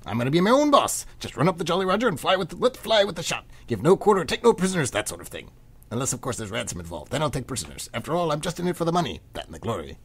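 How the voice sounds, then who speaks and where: A man playing a cocky, excitable student who dreams of piracy, boastful and theatrical, in a comic radio play. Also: a little high, racing, slightly rough, heavily acted. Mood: cocky enthusiasm.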